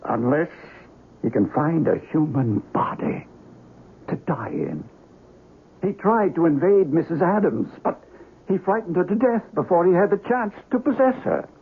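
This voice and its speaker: A man's voice: a little high, big pitch swings, measured pace, vocal fry, full character voice. A man playing a grave doctor of the occult in a radio horror drama, speaking theatrically and solemnly. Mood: grave, ominous. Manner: grave, theatrical, archaic incantations. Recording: noisy, tinny old radio broadcast.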